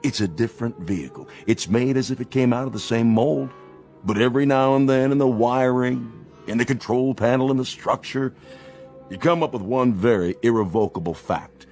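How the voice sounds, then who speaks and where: An actor plays a sober space program manager in a radio drama. His voice is mid-pitched, his delivery measured and respectful. Light music plays underneath. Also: darkish tone, natural voice. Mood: grave.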